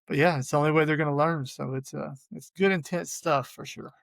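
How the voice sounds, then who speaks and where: A man with a cold, sounding hoarse, hosting a casual sports podcast and laughing often. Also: on the high side, steady tone, a little fast, no echo, studio quality. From Arkansas. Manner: laid-back, laughs often. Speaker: podcast host.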